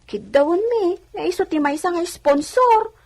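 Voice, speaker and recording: A woman's voice: extremely high pitch, expressive, moderate pace. A woman acting an eager, respectful young village bride-to-be in a radio comedy drama, girlish and deferential.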